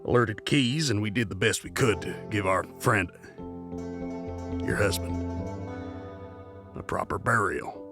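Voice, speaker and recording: A man playing a grieving, guarded outlaw in a scripted audio drama, speaking in a measured, somber way. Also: fairly low pitch. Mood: somber, haunted. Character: grieving male outlaw of a heist crew, guarded. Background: music.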